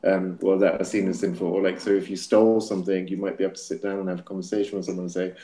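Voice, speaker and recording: Male podcast host, low voice, reflective but animated, echoey room. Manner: reflective, animated, quick to laugh. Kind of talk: casual podcast chat.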